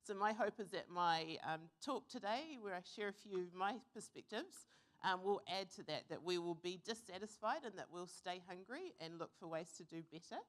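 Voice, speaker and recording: A young woman from New Zealand giving an earnest, reflective conference talk, pausing with fillers now and then. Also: on the low side, lively intonation. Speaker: conference speaker. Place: conference hall.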